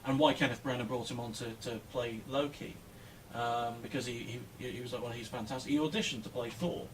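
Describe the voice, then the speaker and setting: A man's voice: mid-range voice, steady tone, a little fast, a little hiss or hum. Welsh man chatting, far from mic. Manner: chatty, stumbling restarts. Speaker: co-host film reviewer. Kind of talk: casual chat. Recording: distant mic with faint music.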